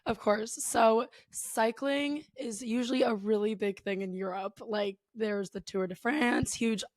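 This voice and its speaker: Hoarse young woman, gravelly voice. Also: a little high, fairly even intonation, unhurried, dry recording. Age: 20s. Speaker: guest. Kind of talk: casual chat.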